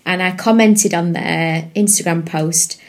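A woman's voice: fairly low pitch, fairly even intonation, unhurried. A woman, chatting as a podcast guest, rambling with many fillers and restarts. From England. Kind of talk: casual interview chat.

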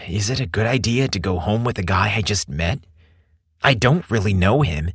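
Guy doing a shy nervous young guy from a story, hesitant, pitch goes up and down. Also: expressive, moderate pace, fairly bright tone, slightly rough, no echo, clean studio sound, full character voice. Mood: wary. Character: shy, nervous young man on a first date.

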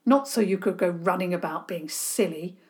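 A woman's voice: on the low side, normal speed, darkish tone, natural voice. A woman reading aloud, voicing a sensible boy who speaks firmly and takes charge of the others. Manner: firm and bossy.

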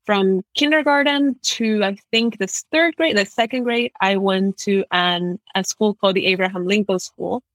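Young Ecuadorian-raised woman guest, chatty and animated, dry close recording. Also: medium pitch, moderate pace, hardly any pauses, no room sound. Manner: chatty, uses lots of "like", animated storytelling. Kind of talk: casual interview conversation.

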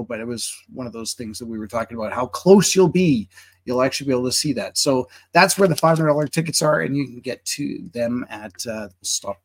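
A man hosting a live webinar over a video call, chatty and promotional, with many filler words as he introduces a guest. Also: medium pitch. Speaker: webinar host.

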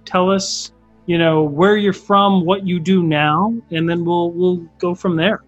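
A man's voice: high voice, fairly even intonation, measured pace, dull audio, soft background music. A man hosting a podcast interview, chatty and casual, with frequent stumbling restarts. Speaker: podcast host.

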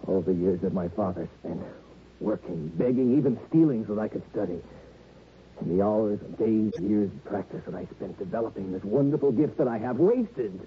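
An actor playing a temperamental, vain young violinist who has killed, impassioned and self-pitying in an old radio drama. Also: male voice, fairly low pitch, animated, normal speed. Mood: self-pitying, anguished. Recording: tinny old radio broadcast, faint noise.

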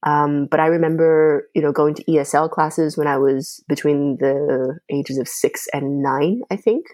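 A woman's voice: low-pitched, steady tone, measured pace, darkish tone, dry recording. A young woman from China, a Mandarin native speaker, talking thoughtfully in an interview with many fillers and restarts. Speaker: podcast host, guest. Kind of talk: casual interview talk.

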